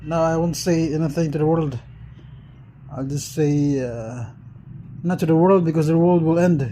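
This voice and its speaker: A man's voice: fairly high pitch, moderate pace, dark tone, slight background noise. A Filipino man, Bisaya his first language, rambling philosophically and laughing often in a casual chat to camera.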